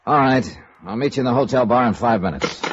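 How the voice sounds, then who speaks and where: A man's voice: mid-range voice, fairly quick, background noise, natural voice. A man playing a wry, hard-boiled insurance investigator in 1950s radio noir, clipped and probing. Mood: brisk, probing. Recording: dull vintage radio recording, music.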